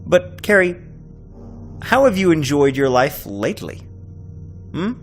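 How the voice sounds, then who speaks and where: A man voicing a sinister, gloating devil in a horror story, mocking with drawn-out questions and sudden swells. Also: fairly high pitch, sing-song, faint music behind, pitched above the performer's own voice. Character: sinister taunting devil, gloating master collecting a soul. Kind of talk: creepypasta villain monologue.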